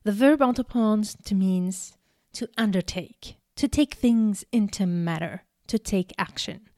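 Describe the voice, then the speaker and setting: A woman in her thirties, from Algeria, giving an earnest, deliberate storytelling speech with emphatic repetitions. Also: medium pitch, a little mellow, dry recording, clean studio sound. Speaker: speaker giving a talk. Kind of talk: prepared personal storytelling speech.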